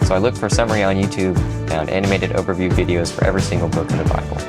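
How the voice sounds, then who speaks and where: A man's voice: low-pitched, fairly even intonation, racing. A man from Alabama reading an upbeat, earnest listener testimonial and a short sponsor message. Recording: loud music bed under voice.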